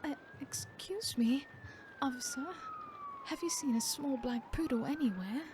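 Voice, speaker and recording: A woman's voice: high-pitched, wildly swooping pitch, measured pace, noisy background, pitched above the performer's own voice, full character voice. A woman playing an innocent, polite woman in an ASMR roleplay, sweetly apologetic, hesitant and flustered.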